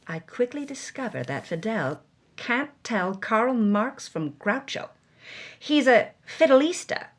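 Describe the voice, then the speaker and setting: A woman telling a scripted story as a podcast storyteller, in an animated way. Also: on the high side, measured pace.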